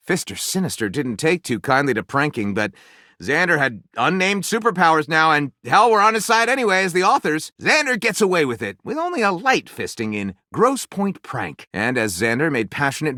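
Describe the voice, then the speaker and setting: An actor playing a whimsical, mock-literary storyteller in a video game, narrating grandly with comic commentary. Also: male voice, a little high, bright, forceful tone, dry recording, studio quality. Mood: grandiose.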